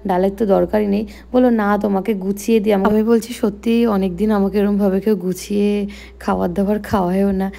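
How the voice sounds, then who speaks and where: A woman's voice: medium pitch, level tone, a little fast, vocal fry, warm tone. A young woman with a West Bengali accent narrating a casual daily vlog in a chatty, explanatory way. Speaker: vlogger.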